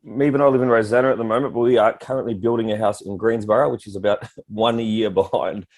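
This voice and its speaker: A man in his mid-thirties with an Australian accent, co-hosting a coaching podcast in relaxed, easygoing chat. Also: lowish voice, quick pace. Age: 30s. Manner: relaxed, easygoing, many "like" and "you know". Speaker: prep coach podcast co-host.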